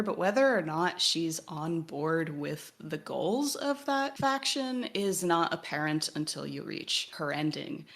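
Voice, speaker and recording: A woman co-hosting a podcast, giving a conversational explanatory summary and leaning emphatically on key words. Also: medium pitch. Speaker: podcast co-host.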